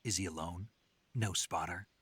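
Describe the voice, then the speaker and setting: An actor playing a gruff, terse American soldier in a video game, with a dry raspy delivery. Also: male voice, low-pitched, normal speed, fairly bright tone, no echo. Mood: terse, wary. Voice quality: raspy, gravelly. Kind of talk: video game cutscene acting.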